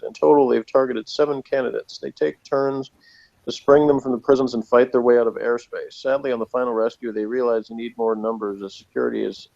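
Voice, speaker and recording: A man's voice: medium pitch, vocal fry, darkish tone. Wry man, slightly muffled sound. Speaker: podcast co-host. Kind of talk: casual chat, reading out notes and commenting.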